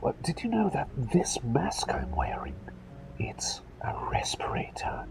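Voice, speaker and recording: Whispering, gravelly, courteous male ASMR doctor. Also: mid-range voice, wildly swooping pitch, a little slow, music in the background, rough recording. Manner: courteous, old-fashioned phrasing, dithering and repeating himself. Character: gentle, eccentric, absent-minded male plague doctor, polite and chatty. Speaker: ASMR roleplay performer. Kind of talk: whispered ASMR roleplay, personal attention.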